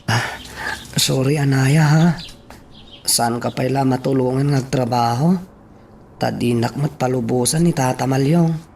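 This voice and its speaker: A man playing a gentle, devoted young suitor in an Ilocano radio drama, with a hesitant, solicitous way of talking. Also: mid-range voice, fairly even intonation, measured pace, vocal fry, long pauses, a little hiss or hum. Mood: concerned.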